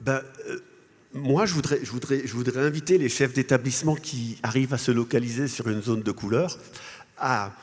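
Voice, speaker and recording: A man from Alsace, a native Alsatian speaker, making his case as a panel guest in a halting, repetitive way. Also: mid-range voice. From Alsace, France. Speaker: guest speaker, language association president. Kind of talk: panel interview, advocating argument with anecdotes. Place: congress hall. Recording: echoey large hall.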